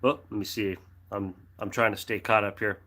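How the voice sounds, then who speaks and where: A middle-aged American man with a lowish voice and a bright, forward tone hosts a casual livestream. He chats in a friendly, rambling way and reads viewer comments aloud. His throat is dry, he has a cough drop in his mouth, and he keeps clearing his throat and restarting his sentences. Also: steady tone, normal speed. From United States. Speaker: livestream host reading chat. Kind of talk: casual live chat.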